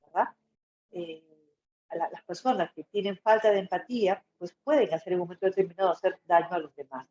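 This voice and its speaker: A Peruvian woman teaches in an informal lecture style, with a soft-edged, mellow voice. She explains didactically and keeps checking that listeners follow with brief tag questions. The sound is dull and muffled, with plenty of room echo around her. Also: mid-range voice, animated, a little fast, a little hiss or hum. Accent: Peruvian Spanish. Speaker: psychology lecturer.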